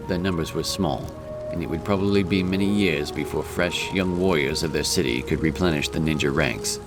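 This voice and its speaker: A man giving scripted narration of a fantasy story in a measured, serious manner. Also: extremely low pitch.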